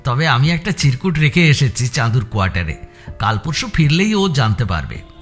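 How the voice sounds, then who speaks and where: A man's voice: mid-range voice, big pitch swings, normal speed, soft background music, natural voice. A man playing an earnest, grumbling young sidekick in an audio drama, plaintive and candid. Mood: earnest, attached. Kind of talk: dramatised audio story acting.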